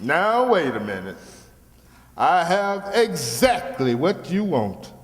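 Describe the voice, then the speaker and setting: An actor playing a shameless, folksy used-car salesman in old-time radio comedy, with theatrical, drawn-out sales patter. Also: a little high, very animated. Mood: boastful pitching. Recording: echoey hall with long reverb.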